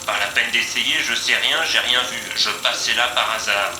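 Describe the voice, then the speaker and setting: A man's voice: medium pitch, little pitch movement, normal speed, lightly acted. A man playing a bitter, blunt undercover informant in an audio drama. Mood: guarded. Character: bitter, foul-mouthed male undercover informant. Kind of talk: French audio fiction acting. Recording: roomy echo, music underneath.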